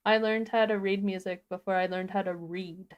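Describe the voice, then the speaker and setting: Female tutorial co-host, average pitch, informal and keen, chatting through software steps. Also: fairly even intonation, normal speed, clear, resonant tone, lo-fi sound. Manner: enthusiastic, informal. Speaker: livestream co-host. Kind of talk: casual software tutorial chat.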